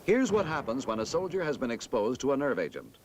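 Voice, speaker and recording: A man narrating a scripted 1960s military instructional film in a formal, emphatic, measured manner. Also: mid-range voice, big pitch swings, noisy background.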